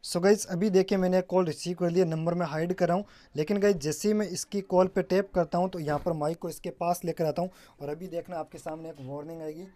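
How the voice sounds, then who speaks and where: A man's voice: high-pitched, fairly even intonation, quick pace, few pauses, dark tone. A man presenting a casual step-by-step tech tutorial, often addressing viewers as guys and mixing in English terms.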